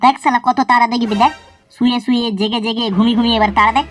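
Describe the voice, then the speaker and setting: A woman voicing a cheeky, foul-mouthed young schoolboy in a cartoon, talking in a quick, sassy and crude way. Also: on the high side, fairly even intonation, faint music behind, lightly acted. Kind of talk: cartoon voice acting.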